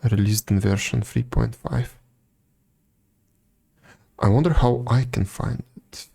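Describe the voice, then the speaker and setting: A man chatting casually as a live game streamer, musing aloud in a low-key, halting way. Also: fairly even intonation, creaky, warm tone, slightly rough.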